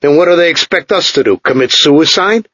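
A man playing a glum, homesick engineer who grumbles his lines flatly and without surprise. The tone is a little husky, and the recording is dull, lo-fi and dry. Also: fairly high pitch, a little fast, no room sound, pitched above the performer's own voice. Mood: weary. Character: weary, morose male engineer far from home.

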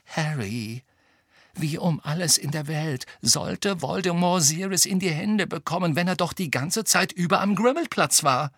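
A man's voice: a little high, measured pace, fairly bright tone, husky, no echo, clean studio sound, voice pushed up, full character voice. A man voicing an anxious but determined teenage witch girl in an audiobook, pleading and insistent as he reasons. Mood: desperate. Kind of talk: audiobook character acting.

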